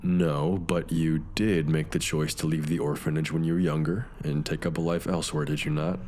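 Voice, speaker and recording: Very deep-voiced mentor detective. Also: male voice, little pitch movement, normal speed, a little mellow, a little hiss or hum. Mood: reassuring. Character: older male mentor detective, calm, wry, reassuring. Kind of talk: audio drama dialogue.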